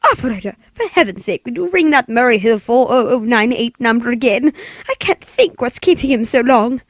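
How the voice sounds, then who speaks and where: A woman's voice: on the high side, animated, moderate pace, no echo, clean studio sound. A woman playing an anxious, demanding bedridden wife in 1940s radio play style, with fretful, stammering, melodramatic delivery. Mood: fretful, desperate.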